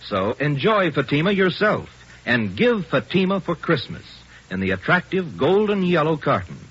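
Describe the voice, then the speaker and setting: A man announcing a 1950s radio advertisement with emphatic, measured salesmanship. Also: fairly high pitch, very animated, a bit bright, husky. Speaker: commercial announcer. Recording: dull vintage radio recording.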